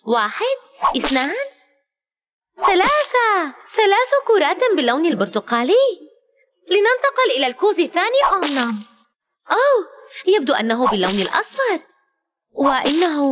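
A woman's voice: very high voice, background noise. A woman narrating a children's educational cartoon in an exclamatory way, speaking directly to a character.

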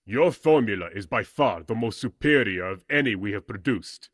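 A formal, courtly corporate dealmaker in an audio drama. His voice is husky and recorded close and dry. He speaks with polite menace and careful evasion. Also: lowish voice, animated, moderate pace, a bit bright, no echo. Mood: politely menacing. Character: smooth-talking, menacing male corporate client representative. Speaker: actor.

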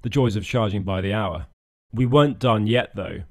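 A man's voice: lowish voice, moderate pace. A man narrating his own boat project in a scripted voice-over, dry and self-mocking. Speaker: channel host narrating a boat build.

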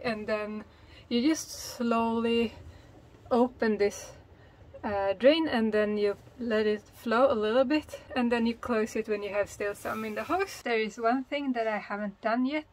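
A woman in a fairly high voice. She explains things informally and with enthusiasm, like someone chatting to a handheld camera on a small boat. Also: measured pace. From Sweden. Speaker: sailing vlogger. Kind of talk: casual explanatory chat to camera. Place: small sailboat, in cabin and covered cockpit, and outdoors at a marina.

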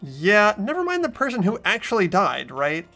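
A woman's voice: medium pitch, huge pitch swings, moderate pace, vocal fry, bright, forceful tone. A woman reading narration and lead dialogue in a game playthrough, with casual banter. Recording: music bed behind voice.

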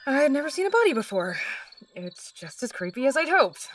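Eager curious teen student; animated, slightly distant, faint hiss. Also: female voice, sits high, a little fast. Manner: eager, cheeky. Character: bold curious teenage girl student.

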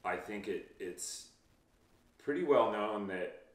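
Man, 30s, fishing guide, low voice, casual and reassuring, recorded at a distance in an echoey home office. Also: lively intonation, slow pace. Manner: easygoing, reassuring, frequent ums. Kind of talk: casual interview answers. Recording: roomy, distant mic.

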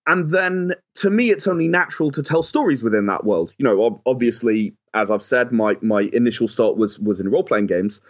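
A man giving conversational, explanatory interview answers, often softening his points with "sort of". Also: mid-range voice, animated, brisk, few pauses, lo-fi sound. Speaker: game designer and writer, interview guest.

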